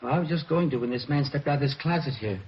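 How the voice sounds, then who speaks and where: An actor playing a nervous, evasive husband under suspicion in a 1950s radio drama, stammering and repeating himself. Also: male voice, medium pitch, quick pace, natural voice. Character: nervous husband, murder suspect, evasive and increasingly frantic. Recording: dull noisy vintage radio recording.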